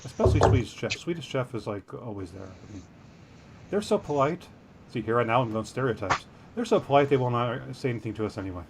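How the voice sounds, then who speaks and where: A man's voice: medium pitch, animated, quick pace, heavy background noise. A man co-hosting a podcast, reading a news story aloud and breaking off into jokey, self-deprecating tangents. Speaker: podcast co-host.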